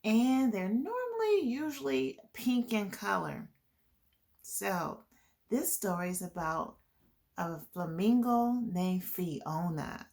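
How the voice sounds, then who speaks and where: A woman's voice: medium pitch, sing-song, takes it slowly, vocal fry, a little mellow. A woman hosting a children's storytime, reading a picture book aloud and explaining it to young listeners. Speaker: children's storytime host and reader.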